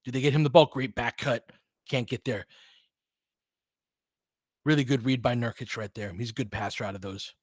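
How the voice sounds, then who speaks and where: A man's voice: medium pitch, fairly even intonation, moderate pace, pauses often, no echo, studio quality. A man hosting a casual live basketball play-by-play, mixing chatty asides with short, clipped calls of the action. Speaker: host doing fan play-by-play of a basketball game. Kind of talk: live sports commentary mixed with casual chat.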